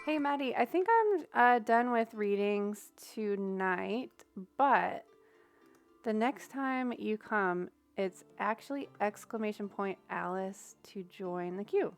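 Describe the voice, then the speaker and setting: Rambling soft-spoken woman streamer. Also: mid-range voice, expressive, takes it slowly, soft background music. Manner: rambling, soft-spoken, thinking aloud with many fillers. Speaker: streamer giving tarot readings. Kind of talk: casual livestream chat with viewers.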